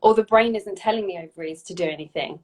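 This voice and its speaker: A woman's voice: mid-range voice, fast talker, a little mellow. A woman in her forties, a health practitioner, explaining things in a casual chat, often restarting and repeating words. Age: 40s. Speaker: functional medicine practitioner.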